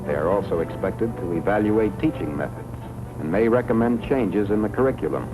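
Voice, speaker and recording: A man reads scripted 1950s educational film narration in a measured, formal way, on a vintage film soundtrack. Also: fairly low pitch, lively intonation, music in the background, rough recording. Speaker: documentary narrator.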